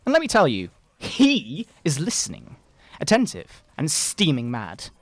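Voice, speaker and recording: A man voicing a pompous, sanctimonious fundamentalist preacher parent in a mock-dramatic sermon, with theatrical, drawn-out emphasis. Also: fairly high pitch, huge pitch swings, no echo, full character voice. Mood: indignant. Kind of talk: mock-dramatic reading of a moral-panic sermon. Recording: background video game music.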